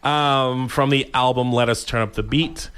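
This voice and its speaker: A man with a Canadian accent and a mid-range voice chats casually as a radio co-host. His delivery is informal and halting, feeling for words as he goes. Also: mid-range voice, fairly even intonation, a bit bright, clear, resonant tone. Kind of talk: casual chat.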